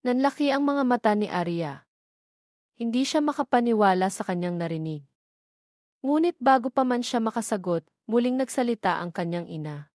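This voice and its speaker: A woman's voice: a little high, animated, moderate pace, darkish tone, no echo. Woman narrating a dramatic story, dry sound.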